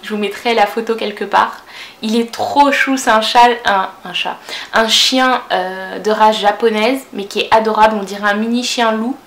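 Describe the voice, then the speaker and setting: A woman's voice: mid-range voice, steady tone, normal speed, a bit bright. Chatty young Frenchwoman in an echoey room. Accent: Parisian French. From France. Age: 20s. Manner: chatty, lots of fillers and self-corrections. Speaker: vlogger. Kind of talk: casual Q&A vlog.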